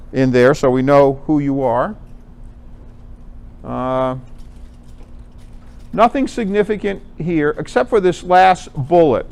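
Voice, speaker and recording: Clear-voiced American man presenting. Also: fairly high pitch, a little mellow. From Connecticut, United States. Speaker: amateur radio contest organizer, presenter. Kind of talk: informal club presentation with slides.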